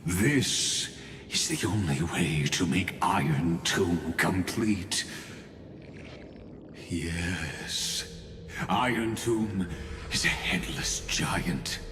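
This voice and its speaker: A godlike game villain, speaks with cold grandeur and condescension. The voice is very low and extremely slow, every phrase weighed out in a huge reverberant space with music behind it. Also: male voice. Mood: triumphant, ominous. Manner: grand, deliberate, condescending, explanatory. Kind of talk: dramatic video game voice acting. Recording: cavernous echo with background music.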